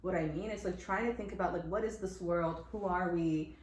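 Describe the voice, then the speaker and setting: A young woman co-hosting a podcast, chatting casually with a friend, animated and full of fillers and laughter. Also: fairly low pitch, fairly quick. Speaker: podcast co-host. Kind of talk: casual chat between friends. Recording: roomy with faint noise.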